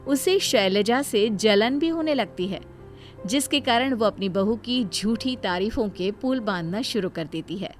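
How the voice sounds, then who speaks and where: A woman's voice: mid-range voice, animated, unhurried. A woman narrating a scripted bedtime story. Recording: music behind the voice. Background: background music.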